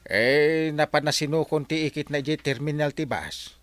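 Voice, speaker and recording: A man's voice: fairly high pitch, moderate pace, a bit bright, lightly acted. A man playing a grumbling, cheeky husband in a comic audio drama, blustering and stammering with an Ilocano accent. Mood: indignant. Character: grumbling, cheeky husband who shirks chores.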